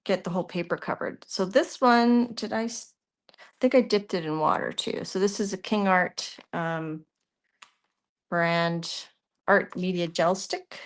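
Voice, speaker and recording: A woman's voice: lowish voice, unhurried. Chatty Canadian woman demonstrating art live. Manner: chatty, thinks aloud while working, trails off mid-sentence. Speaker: art tutorial host. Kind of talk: live art demonstration, answering viewer chat.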